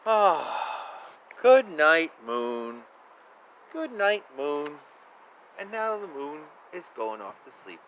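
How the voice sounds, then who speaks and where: High-voiced man reading playfully to children. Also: big pitch swings, drawn-out words, fairly bright tone. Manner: playful, exclaiming, chatty. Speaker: children's picture book reader. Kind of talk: animated read-aloud with asides to young children. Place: outdoors. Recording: outdoor ambient noise, faint. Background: faint outdoor ambience.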